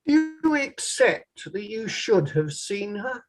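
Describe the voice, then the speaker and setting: An actor as a courtroom inquiry lawyer in a read-through of a drama. The questioning is formal and measured, pressing the witness step by step at a middling pitch. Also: big pitch swings, faint music behind. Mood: formal, probing.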